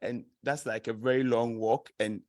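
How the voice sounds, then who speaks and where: A man's voice: mid-range voice, steady tone, moderate pace, a little mellow, dry recording. Laughing Ghanaian man presenting casually. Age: young adult. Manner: chatty, laughs often, many fillers. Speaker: student presenter. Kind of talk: informal presentation to an audience.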